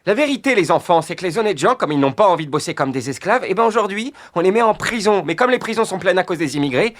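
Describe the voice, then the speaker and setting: Man voicing a cynical cartoon teddy bear, wildly animated delivery. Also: high-pitched, quick pace. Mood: cynical ranting. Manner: blunt, sarcastic, exasperated ranting. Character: cynical, foul-mouthed adult teddy bear on parole, crude. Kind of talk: satirical adult cartoon dialogue.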